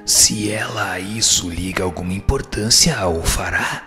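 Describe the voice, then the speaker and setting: A man playing a solemn, wise teaching spirit in a radio drama, answering in a formal, measured, didactic way. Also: sits low, sharp tone, soft background music. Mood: solemn, instructive. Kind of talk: scripted radio drama reading of questions and answers.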